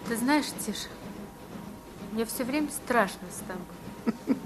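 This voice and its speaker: An actress playing an adoring, timid, naive young wife with a wistful, earnest manner in a radio play. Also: female voice, a little high, animated, slow pace, music in the background. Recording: clearly noisy ambient background.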